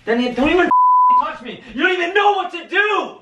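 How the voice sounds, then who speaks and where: Man acting as a hysterical, high-strained dying young man. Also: huge pitch swings, fairly quick, muffled recording, heavy background noise, heavily acted. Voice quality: strained, shouting, breathless. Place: living room, indoors. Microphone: camera across the room. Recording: echoey room, far from camera.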